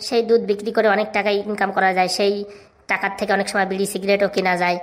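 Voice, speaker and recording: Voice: medium pitch, level tone, rapid-fire, lightly acted. A performer voicing a cocky, touchy overgrown schoolboy in a comic cartoon, boastful, with a rural Bengali dialect. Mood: proud reciting. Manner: boastful, rattling off answers.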